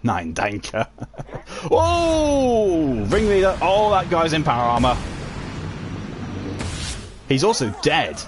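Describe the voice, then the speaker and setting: A man's voice: fairly high pitch, huge pitch swings, slow pace, long pauses, faint music behind. A man casually chatting while streaming a video game, rambling and often chuckling as he answers chat. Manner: reads and answers chat messages while playing.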